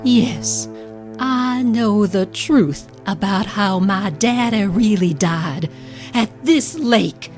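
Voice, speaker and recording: An actress playing a wistful, wronged woman in an audio drama, with a hushed, dramatic delivery. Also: mid-range voice, slow, soft background music, close to an everyday voice. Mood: accusing. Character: wistful, wronged woman confronting her captor.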